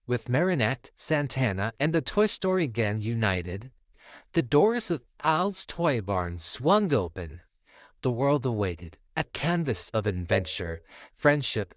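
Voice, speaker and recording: A man reading a scripted bedtime story as narrator, in a measured, theatrical manner. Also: medium pitch, no room sound.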